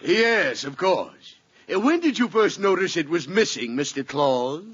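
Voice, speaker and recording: An actor playing a gruff, slow-witted American policeman in an old radio comedy, blustery and easily puzzled. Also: fairly high pitch, sing-song, a bit bright, heavily acted. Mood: baffled, weary. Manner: blustery, hesitant with puzzled drawn-out questions. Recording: dull, very noisy old radio recording.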